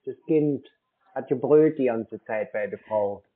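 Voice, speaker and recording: A man's voice: medium pitch, a little slow, breathy edge. A man posing as a customer on a telephone call, asking persistent questions in a naive-sounding way. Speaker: prank caller posing as customer. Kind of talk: phone conversation. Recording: narrow phone-like sound.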